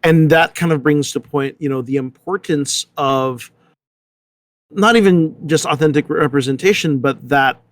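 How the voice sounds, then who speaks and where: A man with a moderately high voice talks through ideas in a relaxed discussion. The sound is dry, and he often tags his points with a short check for agreement. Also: measured pace, no echo. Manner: conversational, checks in with "right". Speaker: panelist. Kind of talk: casual analytical discussion.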